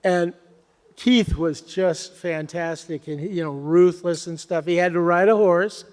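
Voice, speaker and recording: A man's voice: high-pitched, a little slow, a little mellow. A man on a fan panel making joking, self-deprecating remarks. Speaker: showrunner panelist. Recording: long hall reverb.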